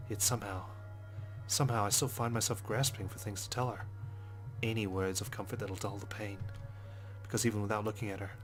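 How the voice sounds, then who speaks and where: A brooding male police detective, read by a man narrates his inner thoughts in a visual novel. The low voice is measured and solemn, with little melody, over quiet music. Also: natural voice. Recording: music behind the voice.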